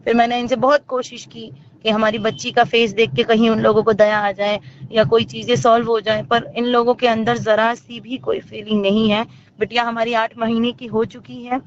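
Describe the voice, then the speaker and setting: A woman's voice: a little high, little pitch movement, moderate pace. A woman giving her account as an interview guest over a phone call, speaking in an emphatic, accusatory way. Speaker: interview guest, actor and singer. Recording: dull lo-fi phone recording, clear noise.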